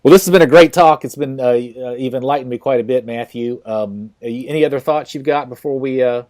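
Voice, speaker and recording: A man hosting a podcast interview, talking casually and haltingly with many fillers and restarts. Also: medium pitch, a little mellow. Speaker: podcast host.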